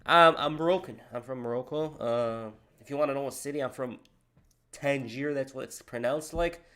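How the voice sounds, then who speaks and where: A man's voice: medium pitch, normal speed, sharp tone. Slangy man chatting on a live stream. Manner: loose slangy chatter, says bro a lot. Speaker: live streamer reacting to a video. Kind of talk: casual live stream reaction.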